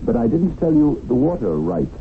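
A man's voice: mid-range voice, very animated, normal speed, close to an everyday voice. An actor playing a sly, stingy businessman in a 1970s radio drama, pedantic and mock-courteous. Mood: smug, oily. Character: sly, stingy, smoothly officious swindling businessman. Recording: muffled, noisy 1970s radio broadcast.

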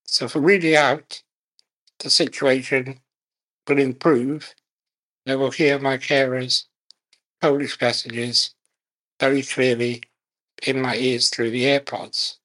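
A man speaking as a guest in an interview, measured and unhurried, speaking in short phrases with pauses. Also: medium pitch, little pitch movement, a bit bright, low-quality recording. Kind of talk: conversational interview.

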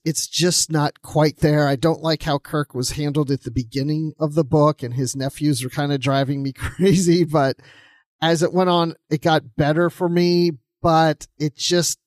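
A man's voice: on the high side, no echo, studio quality. A man co-hosting a podcast, casually discussing a book and thinking aloud as he talks. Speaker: podcast co-host.

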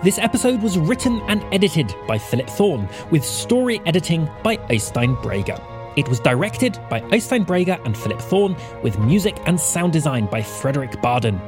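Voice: medium pitch, very animated, normal speed, music in the background. An announcer reading scripted podcast credits in a presentational style.

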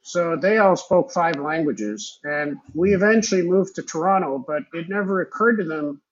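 A man's voice: on the high side, animated, moderate pace, lo-fi sound, a little hiss or hum. An older man, a native Hebrew speaker raised in Israel, telling his story as an interview guest in a rambling, reflective way. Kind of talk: conversational storytelling.

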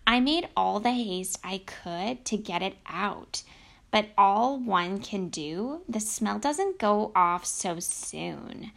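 A woman voicing a young princess who is anxious but quick-witted, soft and slightly higher. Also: lively intonation, vocal fry. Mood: soothing. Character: gentle young princess, worried and clever.

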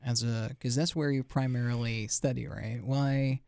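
A man hosting a podcast who asks questions in a halting way, restarting often. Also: medium pitch, steady tone, vocal fry, dark tone, no room sound, clean studio sound. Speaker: podcast host. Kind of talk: casual interview conversation.

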